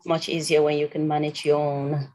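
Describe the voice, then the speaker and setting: A woman hosts an online forum over a video call. She talks briskly and chats freely, thinking aloud as she sorts out the screen sharing. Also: low voice, level tone, mellow. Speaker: forum host. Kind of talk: casual video-call moderating. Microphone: computer on a video call.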